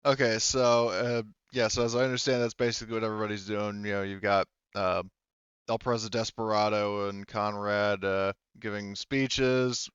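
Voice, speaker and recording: Male game master, smooth and lowish voice, informal, thinking aloud, muffled dry recording. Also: little pitch movement, moderate pace, a bit bright, dry recording. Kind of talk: casual tabletop game narration.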